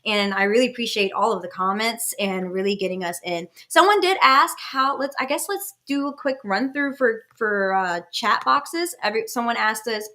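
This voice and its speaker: A woman's voice: fairly high pitch, lively intonation, moderate pace, few pauses, bright, forceful tone. A woman in her late twenties hosting a casual podcast chat in a candid, personal way. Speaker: podcast host.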